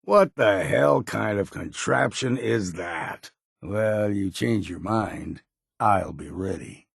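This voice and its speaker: An actor playing a gruff, stubborn old hunter from a harbor town in a video game, with a rasping voice and a folksy, blunt way of talking. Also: male voice, fairly low pitch, takes it slowly, no echo, clean studio sound, full character voice. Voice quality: gravelly, rasping. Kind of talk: video game character acting.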